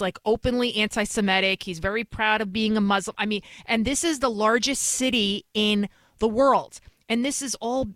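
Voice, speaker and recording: A woman's voice: medium pitch, fairly bright tone, no room sound. Emphatic Bronx-accented woman host. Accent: New York (Bronx). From New York City. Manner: emphatic, repeats phrases for effect. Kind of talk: talk radio commentary.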